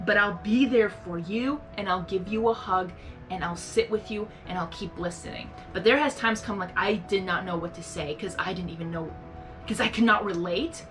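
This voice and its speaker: A young woman hosting a reaction video, talking in a rambling, earnest, soft-spoken way with many fillers and restarts. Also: mid-range voice. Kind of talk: casual reflective monologue.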